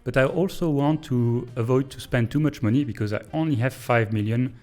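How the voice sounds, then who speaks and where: A man with a French accent, a native French speaker, thinking aloud in a hesitant, pause-filled way as he streams and comments on a train-building game. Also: medium pitch, darkish tone. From Belgium. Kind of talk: casual live-stream gameplay commentary.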